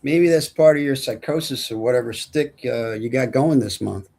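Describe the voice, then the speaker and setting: A man's voice: medium pitch, normal speed, creaky, darkish tone. A man reading the part of a casual, skeptical coworker at a film script table read.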